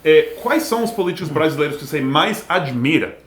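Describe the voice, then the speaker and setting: A man's voice: on the high side, animated, brisk. A man with an American accent, a native English speaker, hosting a comedy talk show with mock-enthusiastic surprise.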